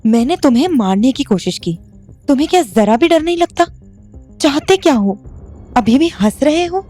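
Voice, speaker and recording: Woman acting dark-toned, bitter, vengeful young woman. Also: high voice, expressive, moderate pace, soft background music. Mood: angry, challenging. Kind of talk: audio drama acting.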